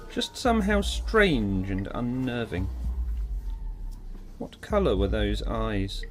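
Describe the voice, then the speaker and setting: A man's voice: medium pitch, rough recording. A man giving a scripted, dramatic narration of an audiobook story.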